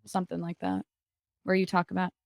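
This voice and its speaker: A woman's voice: fairly low pitch, monotone, a little fast, gravelly, dry recording, clean studio sound. A woman chatting informally as she hosts a casual livestream, often jumping in with short conversational remarks. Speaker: content creator and show host.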